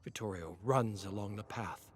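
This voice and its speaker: A man narrating a dramatic story in a theatrical, deliberate way, moving between hushed and intense delivery. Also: on the low side, a little hiss or hum.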